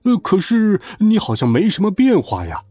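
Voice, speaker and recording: A man voicing a tiny, fussy father in a children's story, reasoning patiently with many drawn-out fillers. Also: sits high, huge pitch swings, warm tone, soft background music, pitched above the performer's own voice. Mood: coaxing.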